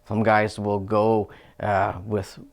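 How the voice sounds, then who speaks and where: A middle-aged man speaks in a lowish voice. He answers interview questions in a relaxed, unhurried way, pausing on fillers and restarting his sentences as he explains his craft. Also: creaky. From Canada. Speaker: hockey equipment technician.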